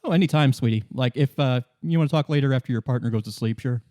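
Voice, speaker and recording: A man's voice: mid-range voice, quick pace, warm tone, dry recording, studio quality, lightly acted. Man doing a sly, flirtatious businesswoman. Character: sly, flirtatious woman, company negotiator.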